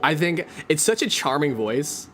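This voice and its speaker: Brief: casual man streamer from Canada, General American accent, lively and rambling. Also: a little high, a little slow. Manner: rambling, heavy use of "like".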